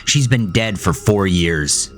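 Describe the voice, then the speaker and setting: Man acting low-voiced, defiant grieving farmer. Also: lively intonation, slow, fairly bright tone, faint music behind, heavily acted. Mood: defiant, fearful. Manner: stammering, barking and growling. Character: grieving farmer son, armed, frightened, defiant. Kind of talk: horror audio drama acting.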